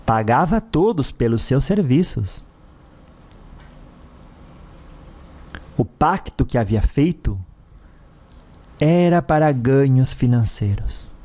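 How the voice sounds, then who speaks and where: Man voicing a menacing, slow-spoken tyrant of the underworld. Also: medium pitch, expressive, mellow, natural voice. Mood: stern, self-justifying. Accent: Brazilian. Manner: menacing, imperious, taunting. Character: cruel, mocking male ruler of a hellish spirit realm.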